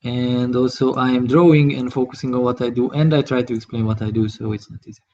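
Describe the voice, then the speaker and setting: A man from Hungary, speaking English with a Hungarian accent, talks through a live drawing tutorial, thinking aloud in a halting way as he works. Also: mid-range voice, fairly even intonation, a little mellow, dull audio, a little hiss or hum. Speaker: digital artist streaming his work.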